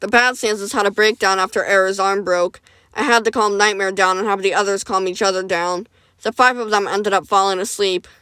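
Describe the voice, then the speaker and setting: A calm, caring male medic talks in a steady, gentle, reassuring way, read by a woman in her own voice. The sound is smooth and clear, recorded close and dry. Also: medium pitch, a little fast, dry recording, lightly acted. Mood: matter-of-fact.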